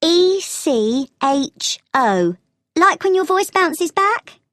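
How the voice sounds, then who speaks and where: A woman playing a helpful, matter-of-fact travel agent in a scripted language-test dialogue, explaining things clearly. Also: very high-pitched, big pitch swings, drawn-out words, a bit bright, no room sound, studio quality, exaggerated voice. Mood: helpful, thoughtful.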